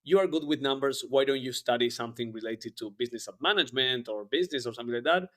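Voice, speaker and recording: A man's voice: medium pitch, quick pace. A man, speaking English as a podcast guest in a rambling, casual way with many fillers. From Argentina. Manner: rambling, frequent fillers and restarts. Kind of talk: casual interview chat.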